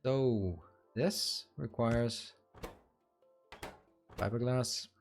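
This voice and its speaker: A man's voice: mid-range voice, extremely slow, faint music behind. Chatty man thinking aloud while gaming. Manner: thinking aloud while building, casual and chuckling. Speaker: streamer. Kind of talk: livestream gameplay tutorial, chatting with viewers.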